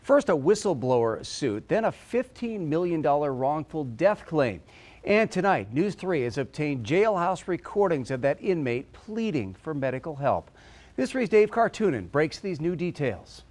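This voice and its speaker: A man's voice: a little high, sing-song, moderate pace, darkish tone. An American man in his fifties reading scripted news as a studio anchor. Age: 50s. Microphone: lapel mic.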